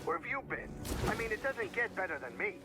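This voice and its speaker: High-pitched, blustering little alien pilot. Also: male voice, big pitch swings, brisk. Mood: cocky. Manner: stammering, blustering. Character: cocky, flustered little male alien pilot. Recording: very loud music and vehicle noise behind.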